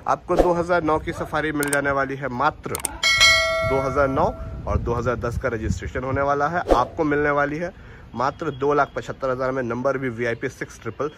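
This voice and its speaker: A man narrating a promotional walk through used cars for sale, listing models and prices in a brisk, repetitive sales patter. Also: mid-range voice, fairly even intonation, noisy background.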